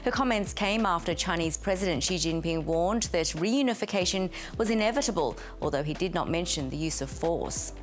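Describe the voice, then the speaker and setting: A woman presenter reading a scripted news report in a measured, formal way. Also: fairly low pitch, expressive, soft background music.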